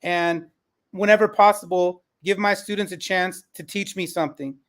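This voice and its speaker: High-pitched man hosting a panel discussion. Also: fairly even intonation, moderate pace, a bit bright. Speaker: podcast panel host and educator. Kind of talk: conversational panel moderation.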